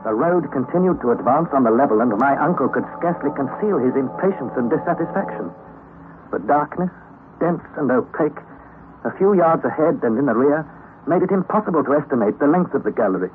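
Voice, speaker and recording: A man voices a young nephew narrating a perilous underground journey in a vintage radio serial. He delivers it earnestly and dramatically, with a very animated pitch. The recording is narrow and phone-thin, with faint hiss. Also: a little fast, close to an everyday voice.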